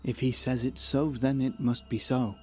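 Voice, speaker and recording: Man playing devout young cleric, medium pitch. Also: little pitch movement, normal speed, a little hiss or hum, lightly acted. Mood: devoted. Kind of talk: dramatic fantasy voice acting.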